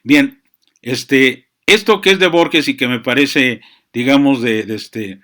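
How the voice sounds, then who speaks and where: Reflective, halting man. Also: medium pitch, pushed and bright. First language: Spanish. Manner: halting, searching for words, frequent verbal tags. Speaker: speaker on chess and literature. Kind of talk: reflective, unscripted monologue. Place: small room with books.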